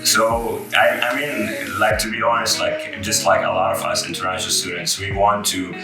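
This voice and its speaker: A young man from Iraq with Arabic as his first language talks in a low, relaxed voice, full of fillers and restarts. His voice carries a roomy echo, and guitar music plays clearly behind him. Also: moderate pace. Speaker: guest. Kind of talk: casual interview chat.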